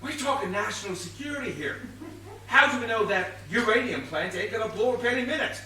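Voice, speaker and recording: An actor playing a bumbling, overconfident police inspector in a comic stage whodunit, blustering and slangy in manner. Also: male voice, high-pitched, lively intonation, fairly quick, sharp tone, full character voice. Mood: excited, cocksure. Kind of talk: comic stage play acting. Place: theatre stage, mic far off. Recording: distant, echoey theatre stage recording, faint hiss.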